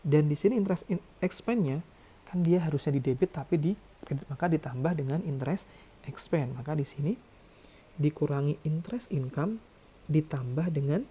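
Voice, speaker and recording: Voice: mid-range voice, moderate pace, pauses often, mellow, a little hiss or hum. An accounting lecturer explaining calculations step by step in a didactic way. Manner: didactic, checks with "ya" and "oke".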